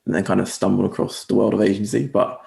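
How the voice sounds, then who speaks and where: A man's voice: on the low side, flat delivery, fast, gravelly, dark tone, roomy sound. A young man with a mixed English and Australian accent, chatting casually as a guest agency owner, rambling and correcting himself with lots of fillers. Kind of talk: casual interview chat.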